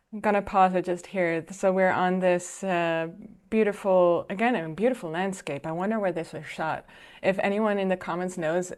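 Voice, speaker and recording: A woman with a slightly low voice talks through a video as she watches it. She is chatty and thoughtful, pausing on fillers and restarting her sentences. It sounds like a casual reaction commentary. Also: a little mellow. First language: Dutch. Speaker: filmmaker and reaction video host.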